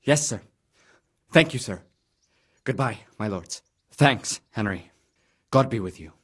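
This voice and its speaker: A man acting a humble, grateful commoner in a medieval video game, with a husky voice. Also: mid-range voice, lively intonation, takes it slowly, a bit bright, full character voice.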